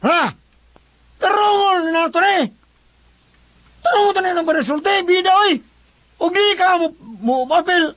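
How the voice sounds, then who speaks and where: A man's voice: extremely high pitch, moderate pace, long pauses, fairly bright tone, dry recording. An actor with a Cebuano Filipino accent playing an indignant, quarrelsome man, accusing and agitated, in a comedy radio drama. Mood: agitated, angry.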